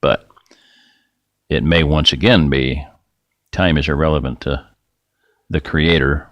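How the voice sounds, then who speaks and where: A middle-aged man hosting a rambling, folksy screen-share talk, his voice getting raspy and dry. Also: rumbling low, steady tone, slow pace, dry recording, dull audio. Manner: rambling, folksy, self-interrupting. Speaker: video host.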